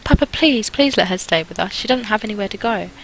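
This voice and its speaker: A woman's voice: mid-range voice, animated, quick pace, fairly bright tone, a little hiss or hum, pitched above the performer's own voice, low-quality recording. A woman voicing an eager, chattering young girl who pleads earnestly in a storybook reading. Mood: pleading. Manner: earnest, wheedling, talkative. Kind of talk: amateur audiobook character reading.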